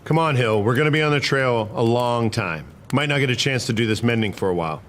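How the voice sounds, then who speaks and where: A man's voice: medium pitch, fast talker, a bit bright, slight background noise, natural voice. A man playing a principled, stubborn cavalry private in a historical drama, arguing in folksy frontier speech. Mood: weary, doubtful.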